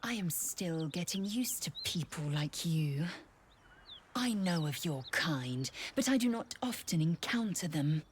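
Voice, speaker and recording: Video game role: haughty female warrior from an alien race, low-pitched, measured, severe and formal, faint noise behind. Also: expressive. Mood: cool disdain. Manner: imperious, clipped, disdainful, formal. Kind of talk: scripted video game character acting.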